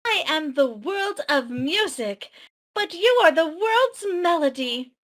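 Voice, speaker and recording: A woman's voice: sits high, big pitch swings, slow, a bit bright, voice pushed up, heavily acted. A woman playing a wounded, pleading woman in a theatrical, emphatic fantasy melodrama read. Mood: wounded, intense. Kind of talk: amateur table read, melodramatic acting.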